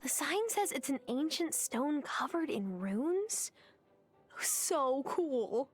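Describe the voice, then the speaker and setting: A woman's voice: high voice, big pitch swings, slow pace, soft background music, full character voice. A woman playing a young, plainspoken farmer new to town in a video game, with a casual, natural manner. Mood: curious.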